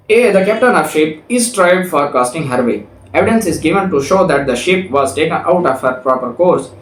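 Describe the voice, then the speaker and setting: A man with a Pakistani accent and a slightly high-pitched voice delivers a lecture in a roomy, echoing space. He is didactic, reading out formal passages and then explaining them point by point. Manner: didactic, explains step by step with examples. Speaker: law lecturer. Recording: echoey, roomy sound.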